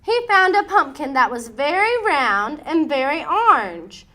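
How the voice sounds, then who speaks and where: A woman narrating a children's storybook as an expressive read-aloud, with dramatic, deliberate emphasis. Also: high voice.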